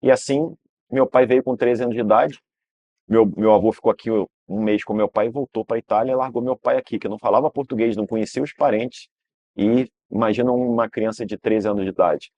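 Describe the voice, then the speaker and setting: A man's voice: medium pitch, steady tone, a little fast, darkish tone, highs cut off. A Brazilian man, a guest in an interview telling long family stories, rambling and restarting with frequent tag questions. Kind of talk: relaxed storytelling interview.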